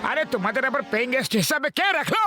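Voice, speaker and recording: A man playing an excitable, hapless cartoon homeowner, loud, exclamatory and complaining. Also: extremely high pitch, very fast. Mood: indignant. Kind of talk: cartoon dubbing. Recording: loud music behind the voice.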